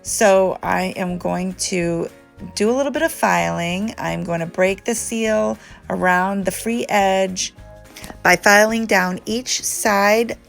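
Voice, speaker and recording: Congested woman with a gravelly voice. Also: medium pitch. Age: adult. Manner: chatty, relaxed, frequent ums. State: congested from allergies. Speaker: nail tutorial host. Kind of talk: casual how-to chat.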